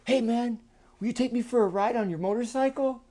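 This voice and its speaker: A storyteller voicing a chummy, pushy, excitable male bullfrog from a children's tale, with boisterous buddy slang. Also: medium pitch, fairly quick, voice pushed up, lightly acted. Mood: chummy, curious.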